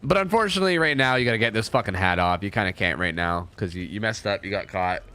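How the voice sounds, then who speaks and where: A man's voice: on the low side, lively intonation, fast, bright, forceful tone, slight background noise. A young man with a cold, commentating gameplay casually, sniffly, profane and rambling with lots of restarts. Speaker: gameplay reviewer.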